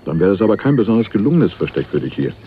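Crime radio role: shrewd and probing, low voice, highly varied melody, wry delivery. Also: male voice, big pitch swings, very fast, narrow, tinny audio, a little hiss or hum. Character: shrewd, watchful male companion in a crime story. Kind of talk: radio crime drama acting. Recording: band-limited radio recording with music.

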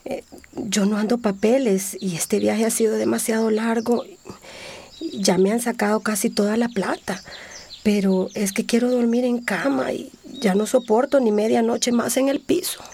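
An actress playing a weary, timid migrant woman in a radio drama, halting and hesitant. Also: fairly high pitch, fairly even intonation, creaky, dark tone, slight background noise.

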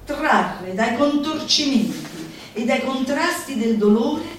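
A woman performer reading a manifesto as theatrical declamation, deliberate and declamatory, with dramatic pauses. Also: high-pitched, animated, music in the background. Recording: roomy, echoing, distant mic, faint noise.

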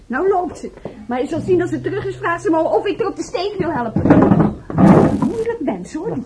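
An actress playing a young nurse in a radio drama, self-reproaching and candid, talking in a hesitant, confiding, colloquial way. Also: female voice, extremely high pitch, expressive, moderate pace, background noise. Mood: irritated, gossipy. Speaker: radio play actor. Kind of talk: naturalistic radio drama dialogue.

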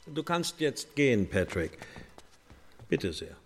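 An irritable householder in a radio drama, stiffly formal and uneasy. His mid-range voice has a husky roughness. He speaks in curt, clipped phrases. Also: mid-range voice, very animated, takes it slowly, soft background music, exaggerated voice. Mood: irritated. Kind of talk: radio play acting.

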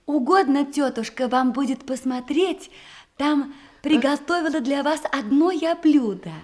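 An actress playing a sly, lively young bride who feigns modesty in a Russian radio comedy, with an expressive theatrical delivery. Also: female voice, high voice, a little slow. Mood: politely bustling.

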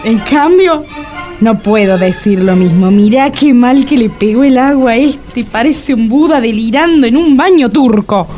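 A woman's voice: on the high side, measured pace, mellow, muffled recording, faint music behind, natural voice. An actress playing a fussy, sarcastic, easily disgusted woman with a Buenos Aires accent, exclaiming and complaining. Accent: Argentine, Buenos Aires. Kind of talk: comedic audio drama acting.

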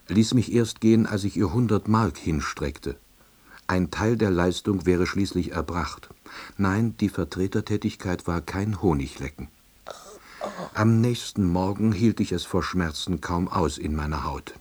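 A glum baffled middle-aged salesman, deep husky voice, dry understated radio play narrator. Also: unhurried, darkish tone. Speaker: narrator and lead character.

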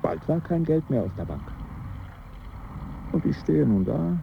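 An actor in a German radio drama playing a wistful lottery seller of impoverished gentry, speaking in a formal, theatrical way. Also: male voice, on the high side, very animated, a little slow, vocal fry, heavily acted. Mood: wistful, grandiose. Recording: dull sound with faint ambient noise.

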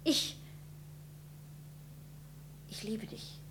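A woman's voice: extremely slow, noisy background. An actress playing a young woman in love who challenges her beloved, in a radio play. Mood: tender.

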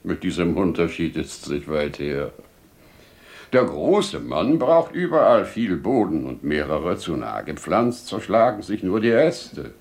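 An actor playing a wise, humane older merchant, warm and earnest, in 1950s radio-play style with theatrical, deliberate declamation. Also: male voice, low-pitched, very animated, gravelly, exaggerated voice. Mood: reflective, gently reproving.

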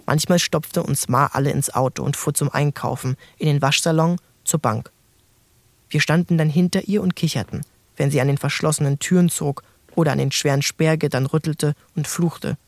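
An actor playing a grown son recalling his wild boyhood, narrating a literary radio play in measured, incantatory short phrases. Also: a little high, steady tone. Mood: tender, reflective. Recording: music behind the voice.

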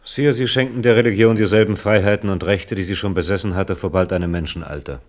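A man's voice: low voice, fast talker, close to an everyday voice. An actor playing an embittered older Protestant nobleman in a 1970s radio drama, grave and earnest.

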